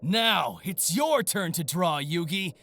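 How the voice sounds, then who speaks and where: High, wildly animated arrogant young villain. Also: male voice, slow, heavily acted. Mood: smug, scheming. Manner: theatrical, taunting, swinging from sly murmur to shouts. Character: arrogant gloating young male card-game villain. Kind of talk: anime-style audio drama acting.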